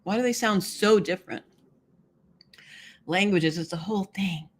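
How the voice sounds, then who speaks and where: A woman's voice: mid-range voice, a little slow, husky. A woman hosting a live stream, chatting casually, laughing often and reading viewer comments aloud. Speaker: livestream host.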